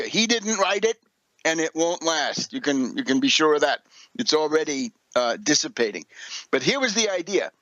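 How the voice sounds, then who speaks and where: A man's voice: fairly high pitch, a bit bright, dry recording, muffled recording. A man giving an unscripted political commentary as a radio host, scornful and sardonic, hammering points home with repetition and rhetorical questions.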